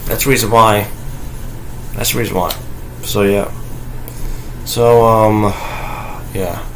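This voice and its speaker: A man raised in North Carolina, recording a casual home vlog, rambling with many halting restarts and blunt, profane remarks. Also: fairly low pitch, fairly even intonation, fairly bright tone, a little hiss or hum, rough recording. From North Carolina, USA (raised there, born in Okinawa, Japan). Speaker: vlogger. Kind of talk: casual ranting monologue.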